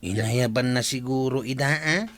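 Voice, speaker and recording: A man's voice: medium pitch, moderate pace, heavy background noise, natural voice. A man acting a chatty, agreeable village gossip with an Ilocano accent in a comedy radio drama. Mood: gossipy.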